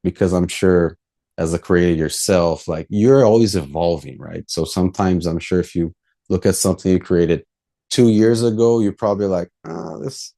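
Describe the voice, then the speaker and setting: A young man from Brazil with a very low, mellow voice. He talks as a guest in a relaxed, reflective way, in casual interview chat. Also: normal speed, creaky. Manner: relaxed, reflective, laughs easily. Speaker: musician guest.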